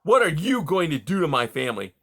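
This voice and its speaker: A man's voice: a little high, big pitch swings, fairly quick, creaky, fairly bright tone, voice pushed up, exaggerated voice. A man doing a sullen young mouse. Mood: sullen angry. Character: young male mouse, angry and miserable.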